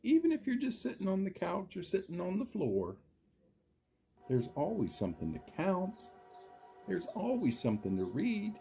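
A man voicing a friendly cartoon dinosaur who reads a children's storybook theatrically, husky, drawing out words and putting questions to young listeners. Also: sits high, huge pitch swings, moderate pace, warm tone, faint music behind, heavily acted. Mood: friendly, chatty. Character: friendly green dinosaur puppet, animated children's storytime reader. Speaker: storytime reader for a school class. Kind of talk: children's storybook read-aloud with asides.

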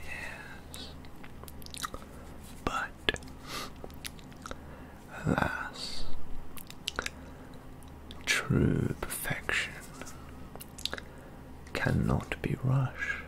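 A young man performing a soft-spoken ASMR roleplay, breathy and mostly whispered, with slow, mannered, genteel and theatrical phrasing. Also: sits low, wildly swooping pitch. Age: young adult. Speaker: ASMR roleplay performer playing a model painter. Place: indoor studio with plain backdrop.

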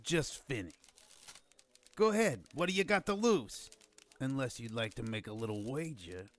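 A man's voice: medium pitch, expressive, moderate pace, natural voice. A man playing a cocky, sly wisecracker who knows magic, flippant and sardonic, in a radio drama. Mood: smug, teasing.

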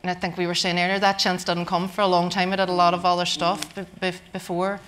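A woman with a Northern Irish accent, a writer speaking on a live panel, halting and laughing often. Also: lowish voice, little pitch movement, fairly bright tone, a little hiss or hum. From Northern Ireland. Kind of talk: casual panel talk. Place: stage before a live audience.

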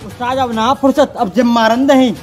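A man's voice: very high voice, steady tone, moderate pace. A man playing an eager young wrestler in a comic sketch, pleading with his coach. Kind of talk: comic sketch in village dialect. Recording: faint music bed.

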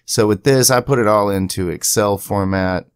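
A man giving a casual software tutorial in a conversational way, with chatty asides, as the creator of the video. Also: lowish voice, moderate pace, clean tone, no room sound. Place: indoors at a home PC, voice-over to screen capture.

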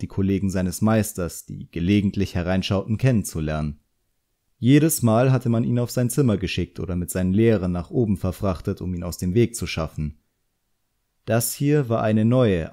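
Low, mellow male audiobook narrator. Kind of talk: scripted narration of a fantasy novel.

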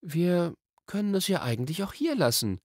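A man's voice: high voice, sing-song, normal speed, darkish tone, no echo, clean studio sound, voice pushed up. A man voicing a cautious, sensible young girl in a storybook, earnest and questioning.

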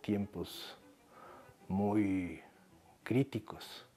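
A man in his seventies with a Guatemalan accent, recalling his life in a measured interview with halting pauses. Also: on the low side, mellow, slightly rough. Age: 70s. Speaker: researcher telling his life story. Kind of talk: reflective interview narration. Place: small book-lined room indoors.

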